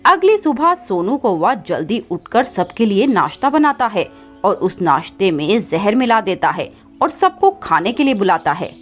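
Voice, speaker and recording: A woman's voice: mid-range voice, sing-song, normal speed. A woman reading scripted narration as the storyteller of a children's tale. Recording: slightly dull audio with background music.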